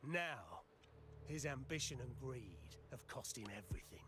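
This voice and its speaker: An actor playing a world-weary, embittered old man in a video game, speaking in a deliberate, theatrical way. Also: mid-range voice, animated, a bit bright, natural voice. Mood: grave, bitter. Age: older. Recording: music behind the voice. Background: game music.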